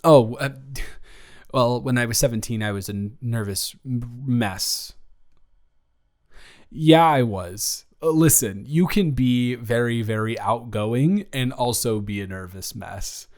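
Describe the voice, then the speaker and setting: A man's voice: mid-range voice, a little mellow, natural voice. Man acting a nervous, rambling young college guy. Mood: self-deprecating. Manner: halting, nervous rambling with stammers and restarts. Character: nervous, loving male college student confessing feelings to best friend. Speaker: audio roleplay performer. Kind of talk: improvised intimate audio roleplay.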